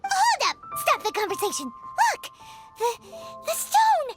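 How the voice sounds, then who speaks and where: A wide-eyed young girl in an anime-style game. Her voice is extremely high and swoops with exclamatory amazement, over loud tinkling music. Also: wildly swooping pitch, takes it slowly, heavily acted. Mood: excited, astonished. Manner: exclamatory, breathless astonishment. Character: excitable, wide-eyed young girl, eager chef's pupil. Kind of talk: scripted anime-style video game acting.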